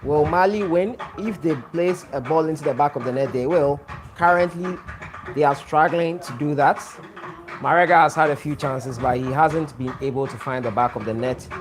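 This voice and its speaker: Chatty stream host from Ghana. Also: male voice, a little high, moderate pace. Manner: chatty, greets viewers by name, repeats himself. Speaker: watch-along stream host. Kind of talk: live stream chat reading viewer comments.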